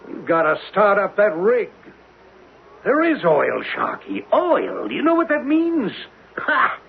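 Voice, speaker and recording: Creaky, high-voiced excitable rig boss. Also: male voice, takes it slowly, heavily acted. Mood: excited. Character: excitable, blunt male oil-rig boss. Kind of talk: old-time radio drama acting. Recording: muffled, crackly old radio recording.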